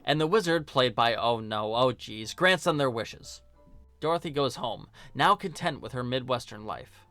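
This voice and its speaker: A man's voice: medium pitch, bright, forceful tone, clear, resonant tone, dry recording. A man narrating a scripted film review in a casual, joking, sarcastic and colloquial way. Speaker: video host and film reviewer.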